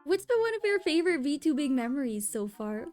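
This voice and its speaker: High-voiced, encouraging woman host. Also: very animated, brisk, a little mellow, soft background music. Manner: encouraging, upbeat, teasing. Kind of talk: casual chat.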